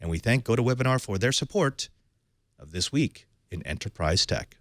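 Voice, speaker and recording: A man hosting a tech podcast, reading a sponsor spot and then welcoming and questioning a guest in a lively way. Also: medium pitch, moderate pace, no echo, clean studio sound. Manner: animated, leading questions with fillers. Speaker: podcast host. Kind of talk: tech show hosting and ad read.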